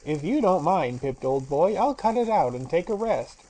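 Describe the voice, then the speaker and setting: A rude, talking music machine, put on by a woman, brazen and scratchy. It speaks loudly and sullenly, grumbling with a coarse edge. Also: fairly low pitch, sing-song, moderate pace. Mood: surly. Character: surly living phonograph, brazen and rude.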